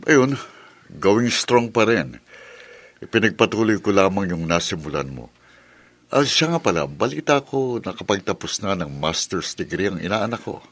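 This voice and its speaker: Man acting low-voiced, affable Filipino executive godfather. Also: lively intonation, normal speed, a bit bright, heavily acted. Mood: friendly. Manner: easygoing, mixes in English phrases. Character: affable, trusting older company executive, the godfather. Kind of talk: scripted Tagalog video drama acting.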